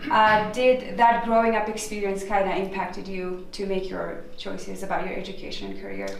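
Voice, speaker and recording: A woman's voice: medium pitch, fairly even intonation, normal speed, creaky. A woman hosting a podcast interview, asking chatty questions full of fillers. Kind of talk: conversational interview. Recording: very echoey room, distant mic, noise.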